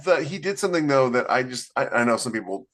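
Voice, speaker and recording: A man's voice: mid-range voice, animated, quick pace, a bit bright, a little hiss or hum. A man co-hosting a podcast, an author talking candidly and reflectively about writing. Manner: candid, reflective, self-deprecating. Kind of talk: casual podcast discussion.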